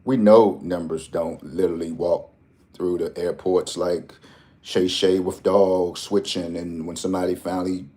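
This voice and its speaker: A man's voice: sits low, fairly even intonation, unhurried, mellow. A man hosting a lottery tips vlog, talking in a casual, joking, rambling way full of slang and asides.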